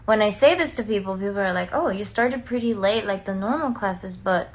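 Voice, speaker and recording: A woman's voice: mid-range voice, lively intonation, a little fast. A woman chatting casually on a livestream, heartfelt and talkative, filling her speech with "like.". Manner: chatty, heartfelt, many "like" fillers. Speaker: singer and dancer on a livestream.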